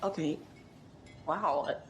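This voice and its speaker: A woman's voice: low-pitched, extremely slow, a little hiss or hum. An actress playing a brash, sardonic crime writer in a video game, flippant and defensive in the way she talks. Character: brash, sardonic female true-crime writer from New York.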